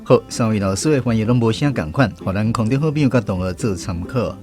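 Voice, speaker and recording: A man's voice: lowish voice, quick pace, darkish tone, soft background music. Taiwanese man hosting a radio language lesson.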